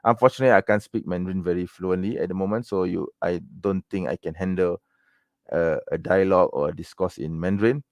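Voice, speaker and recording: A man's voice: low voice, fast talker, no room sound. A man from Malaysia, raised in Penang, hosting a casual livestream Q&A, rambling with lots of fillers and "okay?" and "right?" tags. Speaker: livestream Q&A host. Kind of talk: casual livestream chat answering viewer questions.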